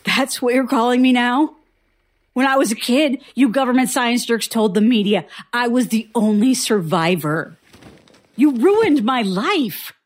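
A woman's voice: a little high, animated, measured pace. A woman playing a bitter, impatient survivor in her thirties in an audio drama, curt and sarcastic in a natural style. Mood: angry, indignant.

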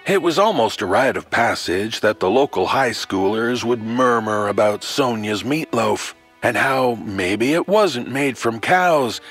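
Animated male storyteller over a musical score. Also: mid-range voice. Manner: folksy, wry, addressing the listener directly. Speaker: horror anthology storyteller. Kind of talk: theatrical scripted horror storytelling narration.

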